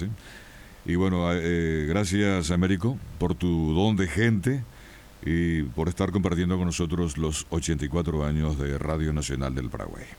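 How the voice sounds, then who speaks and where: A man hosting a radio interview, speaking in a measured way and praising his guest. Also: very deep voice, a little hiss or hum. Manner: measured, warm praising speech. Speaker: radio host.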